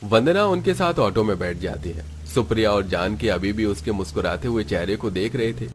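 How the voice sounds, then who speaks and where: A man's voice: lowish voice, expressive, brisk, a little hiss or hum. A man narrating a scripted Hindi cartoon story.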